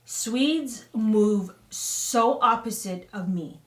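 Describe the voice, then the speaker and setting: A woman's voice: a little high, drawn-out words. Candid woman in an echoey room. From Canada, Toronto. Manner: chatty and candid, laughs easily. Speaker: vlog co-host, new mother. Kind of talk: casual sit-down chat. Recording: echoey room, mic at a distance.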